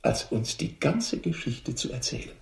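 An actor in a radio drama playing a courteous, commanding gentleman detective, formal and politely insistent. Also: mid-range voice, sing-song, measured pace, creaky, reverberant.

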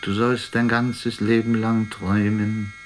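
A man voicing a tender, doting mother who gently soothes a little son to sleep in a radio drama, soft and drawn-out. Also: lowish voice, flat delivery, slight background noise, close to an everyday voice. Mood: tender, soothing. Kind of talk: radio drama, crooning lullaby speech.

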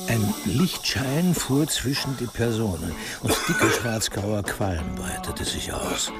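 Creaky male crime-story narrator over music. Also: fairly low pitch, very animated, slow. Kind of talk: scripted literary crime narration.

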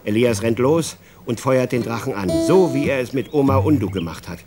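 A man's mid-pitched narrator voice with a very lively melody. He reads a scripted children's story expressively, as a storyteller would. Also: fairly quick, over loud music.

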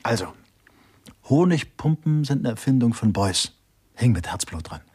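An actor playing a drily pompous, bookish assistant detective in a German radio crime comedy, musing in know-it-all asides. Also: male voice, medium pitch, big pitch swings, moderate pace, darkish tone. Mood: pompous, dry. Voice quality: husky. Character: bookish, opinionated male police sidekick, drily pompous.

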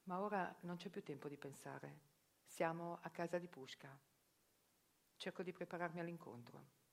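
A woman narrating a theatre reportage in a measured, reflective storytelling manner. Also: lowish voice, vocal fry.

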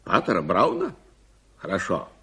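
Irascible gentleman, 1980s radio drama. Gruff, lowish, hugely animated delivery, exasperated and demanding. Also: normal speed, full character voice. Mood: agitated. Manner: sharp questioning, exasperated outbursts. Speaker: radio play actor.

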